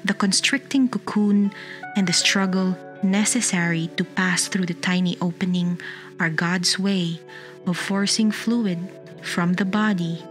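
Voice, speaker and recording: A woman with a calming voice reading a meditative short story as a guest narrator. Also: fairly low pitch, unhurried. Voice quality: calming, soothing. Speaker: guest reader. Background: new-age instrumental music.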